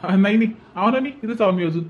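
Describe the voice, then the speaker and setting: A man voices a simple, obliging cartoon villager in rural Vidarbha Marathi. The voice is very high and hurried, repeating itself modestly, on a dull recording. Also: close to an everyday voice. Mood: reassuring. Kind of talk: hobby cartoon dialogue.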